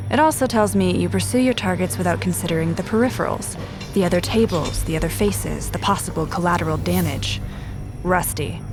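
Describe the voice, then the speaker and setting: An actress playing a sharp-eyed, no-nonsense female detective in a noir audio drama, deadpan and sardonic. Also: on the low side, close to an everyday voice. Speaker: detective and narrator. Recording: music behind the voice.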